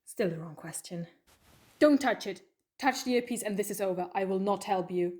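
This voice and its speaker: Clever, coaxing heroine female performer, mid-pitched, conversational and teasing, for an audio drama about an alien traveller. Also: moderate pace, dark tone, close to an everyday voice. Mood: wry, cool, then firm, urgent. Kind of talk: fan audio drama acting.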